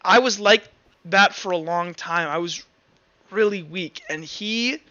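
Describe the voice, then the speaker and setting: Man playing a haunted, halting young woman. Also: sits high, sharp tone, lo-fi sound. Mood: pained, fearful. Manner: halting, self-justifying. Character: haunted, defensive young woman who enthralled her best friend.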